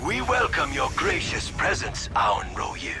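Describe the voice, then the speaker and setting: A man voicing a formal, dutiful alien military commander in a video game, speaking crisply and properly. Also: fairly high pitch, huge pitch swings, measured pace, heavily acted. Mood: deferential. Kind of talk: video game character acting.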